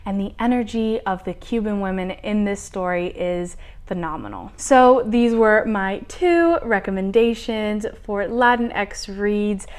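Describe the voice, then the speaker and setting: A woman's voice: mid-range voice, lively intonation, a little slow, smooth. A woman enthusiastically wrapping up her book recommendations for her channel. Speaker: book reviewer. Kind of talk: booktube recommendation.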